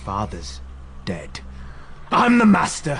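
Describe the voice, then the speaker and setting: A man's voice: mid-range voice, wildly swooping pitch, slow pace, fairly bright tone, slight background noise, full character voice. A man playing a bullying young master of the house in a radio period drama, domineering and barking orders. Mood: cold anger.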